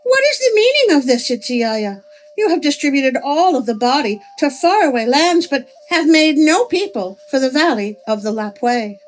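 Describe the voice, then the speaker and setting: A woman's voice: high-pitched, very animated, normal speed, pitched above the performer's own voice, rough recording. A woman voicing an indignant, questioning fox in a folk tale. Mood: reproachful. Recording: music under the voice.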